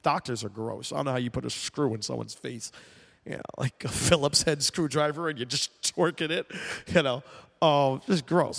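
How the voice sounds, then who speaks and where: Man, congested, gravelly voice, slightly high pitch, casual rambling sports rant, long reverb. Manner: animated, rambling, mocking fans. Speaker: podcast host. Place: air-conditioned room at home. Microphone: headphones with mic.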